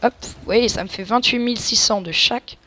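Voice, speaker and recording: A woman's voice: fairly high pitch, brisk, sharp tone. French woman with a cold commenting on a game. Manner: crude, joking, explains tactics to viewers. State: has a cold. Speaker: let's play gamer. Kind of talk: casual gameplay commentary.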